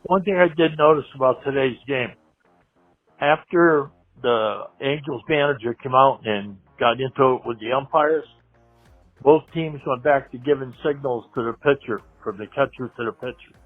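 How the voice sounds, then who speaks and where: A man's voice: medium pitch, moderate pace, slightly rough, no room sound. A man leaving a casual sports observation as a caller on a phone voicemail. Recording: tinny dry phone line, faint music.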